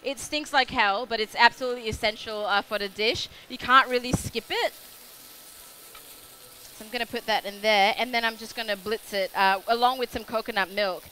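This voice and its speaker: A woman's voice: a little high, moderate pace, sharp tone, slight background noise. A young woman with an Australian accent giving a live cooking demonstration on a food show stage, chatty and rambling with many fillers.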